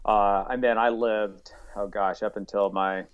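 Folksy man, low voice. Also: level tone, a little slow, smooth. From Arkansas. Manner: folksy, rambling, many fillers. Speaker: guest, rifle company owner. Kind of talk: casual interview chat. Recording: dull lo-fi audio.

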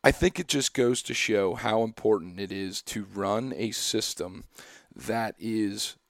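A young man hosting a podcast, hoarse and losing his voice, talking in a loose, rambling way with many fillers and restarts. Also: on the low side, unhurried, no room sound, studio quality. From Pennsylvania. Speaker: podcast host. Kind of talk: casual chat.

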